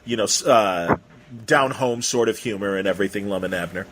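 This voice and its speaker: A man's voice: lowish voice, brisk, a bit bright, slight background noise, rough recording. An American man hosting a conversational interview in an informal way, with enthusiastic interjections. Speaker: interviewer, podcast host.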